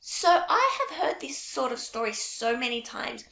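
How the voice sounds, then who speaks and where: A middle-aged woman, a French teacher for young children, talking straight to camera in a warm, reassuring storytelling way. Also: a little high, big pitch swings, unhurried, creaky, a little hiss or hum. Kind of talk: casual direct-to-camera talk. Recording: echoey room, dull lo-fi sound.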